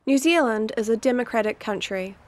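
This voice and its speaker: A woman narrates civic information from a script. Her voice sits fairly high, and she reads in a plain, even manner. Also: fairly quick.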